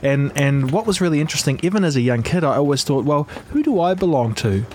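A man from New Zealand hosting a casual interview, asking questions in a relaxed, conversational way. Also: on the high side, fast talker, slight background noise. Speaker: podcast host.

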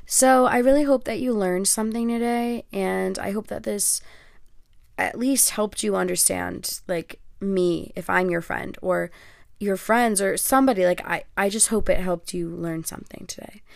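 A woman's voice: medium pitch, normal speed, vocal fry, dry recording, studio quality. A young woman whose first language is Greek, hosting her podcast in a chatty, self-deprecating way with lots of fillers. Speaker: podcast host. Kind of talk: casual solo podcast talk.

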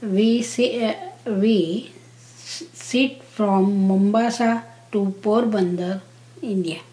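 A grandmother in her seventies from Kenya, answering interview questions in halting, simple phrases with pauses. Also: medium pitch, steady tone, dark tone, low-quality recording. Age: 70s. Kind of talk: slow casual interview answers.

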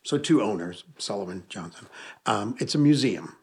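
A man's voice: on the low side, animated, normal speed, slightly rough. A man, an author and podcast guest, telling stories in a rambling way and correcting himself as he goes. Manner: rambling storyteller with self-corrections. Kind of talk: casual podcast interview.

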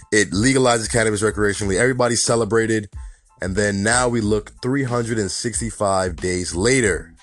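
A New York man hosts his podcast alone, his low voice gone raspy and hoarse from a mild cold. He talks in a loose, chatty way, leaning on "you know" and "honestly." Faint music plays underneath. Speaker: podcast host. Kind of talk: casual solo podcast commentary.

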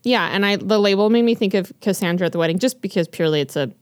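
A woman's voice: medium pitch, fast talker, smooth, dry recording, clean studio sound. A woman co-hosting a podcast, chatting casually and rambling with many fillers and restarts while recommending books. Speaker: podcast co-host. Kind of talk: casual chat about books.

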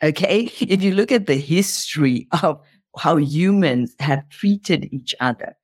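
A woman's voice: low-pitched, animated, measured pace, darkish tone, husky, dry recording, studio quality. A woman speaking as an interview guest, explaining in an impassioned motivational style and repeating phrases for emphasis.

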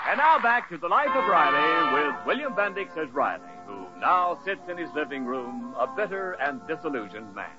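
A dull vintage radio recording with loud music behind a male announcer. His fairly high voice moves in lively swings as he reads scripted links in 1940s style. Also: moderate pace, hardly any pauses.

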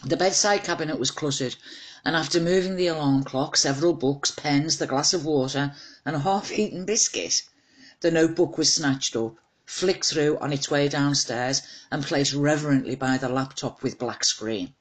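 A woman's voice: low-pitched, moderate pace. A woman narrating a scripted short story as its author, in a wry, dramatised reading.

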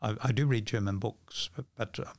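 An older male podcast guest speaks in a fairly low voice. He talks conversationally, halting and repeating words as he goes. The recording is dry and close. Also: dry recording. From New Zealand. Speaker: podcast guest, magician and author. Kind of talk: casual conversation.

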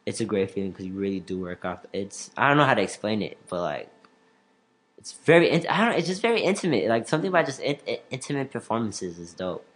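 A man's voice: on the low side, sing-song, fast talker, fairly bright tone. A man who is a stand-up comedian and stutters, talking in a rambling casual way and laughing often. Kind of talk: casual podcast chat.

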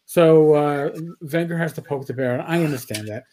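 A man with a high-pitched voice talks in a loose, rambling way. He restarts his sentences over and over and swears freely while co-hosting a casual podcast chat. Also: moderate pace, warm tone. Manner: rambling, stammering, blunt and profane. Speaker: podcast co-host.

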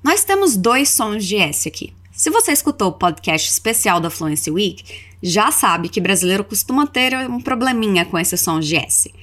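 Lively Brazilian woman teaching. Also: mid-range voice. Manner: instructive, enunciates example phrases slowly and deliberately. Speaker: English teacher hosting a podcast lesson. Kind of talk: scripted language lesson with repeat-after-me drills.

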